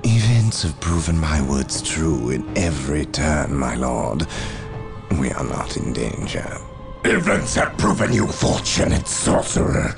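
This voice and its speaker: A man playing a sinister, contemptuous dark warlord in a video game, gravelly, menacing and disdainful. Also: rumbling low, full character voice. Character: sinister chaos lord and sly sorcerer, contemptuous villains.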